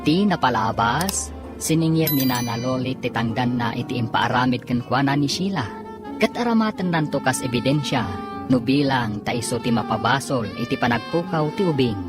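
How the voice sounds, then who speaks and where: A man's voice: medium pitch, lively intonation, normal speed. A man narrating a radio drama in a declamatory announcer's style, reading scripted recaps and credits. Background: instrumental music.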